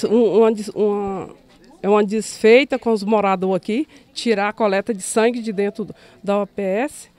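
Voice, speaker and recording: A Brazilian woman at a street protest, indignant and stumbling as she calls out local officials. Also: a little high. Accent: Brazilian Portuguese. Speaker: resident at a protest. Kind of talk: street interview. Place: outdoors at a protest.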